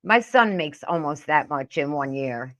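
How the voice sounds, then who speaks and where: A woman's voice: low voice, lively intonation, measured pace, fairly bright tone. A middle-aged woman giving casual, profane reaction commentary in a blunt, indignant, scolding manner. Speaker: reaction video host.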